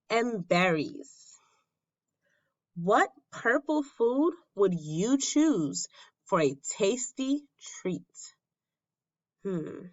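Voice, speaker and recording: A woman reading a children's picture book aloud as a teacher, with simple, deliberate phrasing and questions for young listeners. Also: mid-range voice, lo-fi sound.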